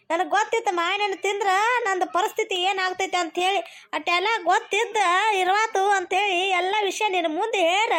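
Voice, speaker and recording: Woman as cartoon mother-in-law, extremely high voice, North Karnataka accent, cantankerous, earthy, insistent scolding. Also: a bit bright. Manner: scolding, repeats words insistently. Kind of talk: cartoon comedy dialogue.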